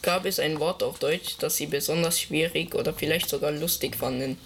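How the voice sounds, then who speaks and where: A man's voice: fairly high pitch, brisk, gravelly, a bit bright, a little hiss or hum. Teenage boy, polite interviewer. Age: teens. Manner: polite and formal. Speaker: student interviewer. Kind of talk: reading prepared interview questions.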